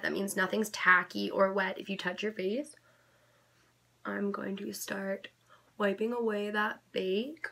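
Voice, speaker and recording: Chatty young woman, slightly sick voice. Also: mid-range voice. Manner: chatty, gentle instructional tone. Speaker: makeup vlogger. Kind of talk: casual makeup tutorial.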